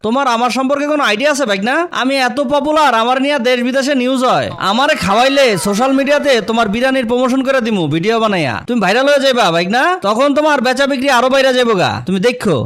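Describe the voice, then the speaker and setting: A man's voice: very high voice, very fast, hardly any pauses, fairly bright tone. A man voicing a boastful, freeloading uncle in a comedy cartoon, with wheedling colloquial banter and a Bangladeshi accent.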